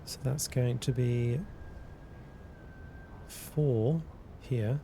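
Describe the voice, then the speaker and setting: A man chats on a live stream, thinking out loud and often repeating himself as he works through math problems. His voice sits at an ordinary middle pitch. A steady low rumble of noise runs under the recording. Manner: thinking aloud, halting, repeats words. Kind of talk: casual live-stream chat, reading chat and working math aloud. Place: cold indoor room.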